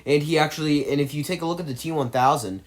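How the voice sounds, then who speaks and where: A man's voice: a little high, fast. Man giving heated, rambling movie opinions. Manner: argumentative, emphatic, rambling. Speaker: movie commentator.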